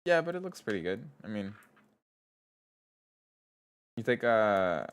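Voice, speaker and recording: A man with a stuffed-up nose and a lowish, smooth voice chats through a live coding stream. He reads viewers' messages aloud and talks himself through his code, trailing off and starting over as he goes. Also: very animated, slow pace. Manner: thinks aloud, reads chat aloud, trails off and restarts. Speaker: streamer and game developer. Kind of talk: casual live-stream chat while coding.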